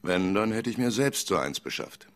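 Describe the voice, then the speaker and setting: Cool hard boiled gangster club boss, deep voice, few words, radio crime drama. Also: male voice, sing-song, moderate pace, a bit bright. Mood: cool, laconic. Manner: laconic, cool.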